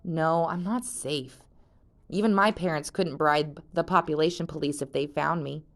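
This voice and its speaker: A woman's voice: low-pitched, moderate pace. A woman reading aloud, voicing a confident, chatty teenage girl who brushes off worries. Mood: breezy. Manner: breezy and dismissive.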